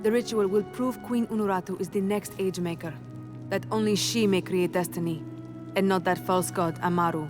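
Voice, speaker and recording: An actress playing a proud, duty-bound rebel warrior who speaks formally and solemnly in a video game cutscene. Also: female voice, mid-range voice, normal speed, lightly acted. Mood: grave, resolute. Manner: formal, declarative. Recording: music under the voice.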